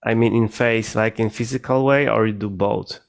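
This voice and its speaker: A man's voice: fairly low pitch, steady tone, normal speed, vocal fry. A man who speaks English as a second language, interviewing casually and hesitantly, searching for his words. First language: not English. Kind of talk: casual conversation.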